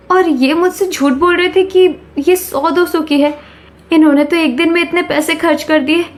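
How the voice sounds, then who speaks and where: A woman playing a devoted but suspicious young village wife in a cartoon, earnest and questioning. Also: very high-pitched.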